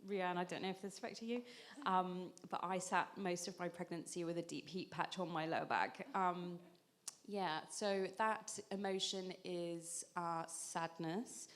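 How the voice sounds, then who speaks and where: A woman's voice: lowish voice, unhurried. A woman in her thirties, an acupuncturist on a panel, explaining at length in a conversational way with frequent ums and restarts.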